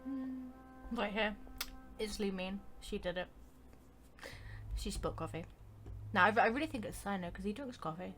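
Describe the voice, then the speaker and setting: A woman's voice: medium pitch, moderate pace, pauses often, fairly bright tone. A woman streaming a game with casual, playful, slangy commentary. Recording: game music behind the voice.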